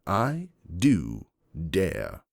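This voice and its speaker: A confident aristocratic pony gentleman, played by a man, defiant and cutting as he argues his case. His pitch swings very widely around a lowish, creaky base, over faint music. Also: wildly swooping pitch, extremely slow, full character voice. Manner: emphatic, cutting. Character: self-assured wealthy gentleman stallion, progressive, defiant. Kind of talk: dramatic first-person fan fiction reading.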